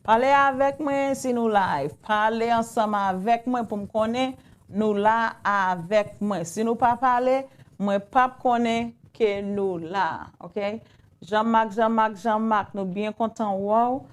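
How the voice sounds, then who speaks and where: Woman, Haitian Creole accent, English teacher, unhurried, writes as she talks, repeats words. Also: medium pitch. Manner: slow, repeats phrases while writing them out. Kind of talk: live online language lesson.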